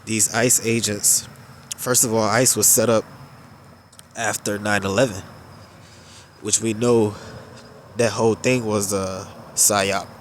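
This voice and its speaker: A man with a stuffed-up, nasal voice giving unhurried religious commentary, drawing out his phrases with filler pauses. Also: on the low side, steady tone, a little hiss or hum.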